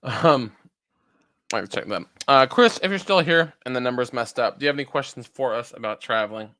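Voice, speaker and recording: A young man co-hosts a casual podcast, teasing and cracking jokes. His bright, sharp tone swings widely up and down as he talks, giving a jumpy, animated delivery. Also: mid-range voice, brisk. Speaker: podcast co-host. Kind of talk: casual chat.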